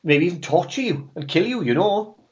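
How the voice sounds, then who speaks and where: A man's voice: sits high, expressive, brisk, dull audio, voice pushed up. A man voicing Merlin, an old male wizard, with a put-on Welsh accent, giving orders. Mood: commanding. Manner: commanding, briefing tone.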